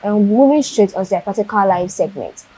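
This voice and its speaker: Breathy woman TV host. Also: medium pitch, lively intonation, brisk, warm tone, low-quality recording. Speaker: TV show host. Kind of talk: presenting a youth TV show.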